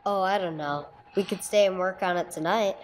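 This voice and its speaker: A woman's voice: on the low side, normal speed, lightly acted. A woman playing a terse, sober police detective who narrates a case in an old-time radio drama style, on a noisy recording. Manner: matter-of-fact, clipped. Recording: clearly noisy amateur recording.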